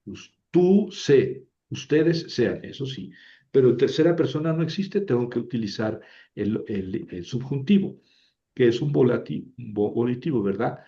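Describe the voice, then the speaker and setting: A man's voice: mid-range voice, expressive, unhurried, mellow. A man teaching a language lesson, explaining patiently and often checking with "¿verdad?". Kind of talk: lecture. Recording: dull lo-fi recording.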